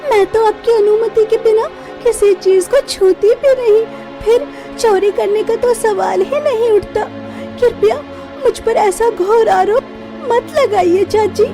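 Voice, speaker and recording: A woman's voice: very high-pitched, a little slow. A woman playing a meek, dutiful young daughter who pleads politely in a melodramatic cartoon story. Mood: pleading, shocked. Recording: music behind the voice.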